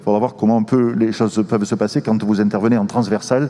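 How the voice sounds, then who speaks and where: A man moderating a panel discussion with measured, formal and courteous phrasing. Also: lowish voice, little pitch movement, warm tone. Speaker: forum moderator. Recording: reverberant hall sound.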